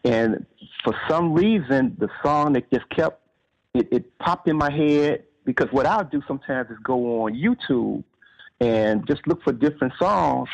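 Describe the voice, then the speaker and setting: A man's voice: medium pitch, lively intonation, unhurried, creaky. A man talking casually as an author in an interview, reflectively explaining things step by step and restating his phrases. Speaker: author in conversation about writing. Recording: slightly dull, lo-fi radio-like sound.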